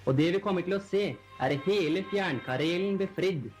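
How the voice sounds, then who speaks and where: A man narrates a 1940s newsreel in a formal, declamatory announcer style, on a vintage film soundtrack. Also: sits high. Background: newsreel background music.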